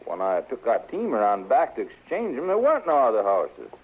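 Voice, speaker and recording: Through a tinny, phone-like vintage radio recording, a folksy stagecoach man. His mid-pitched voice swings in a lively way, with rural Western American grammar and twang. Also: brisk, breathy edge. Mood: matter-of-fact. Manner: folksy, ungrammatical, plain-spoken. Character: gruff, plain-spoken frontier stagecoach man. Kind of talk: old time radio western acting.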